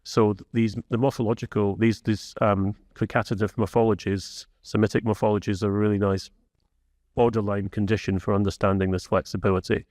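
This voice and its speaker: A man's voice: on the low side, little pitch movement, brisk, darkish tone, no echo, clean studio sound. A man on a conference panel explaining reading research, stumbling over restarts before settling into a fluent explanation. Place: conference venue.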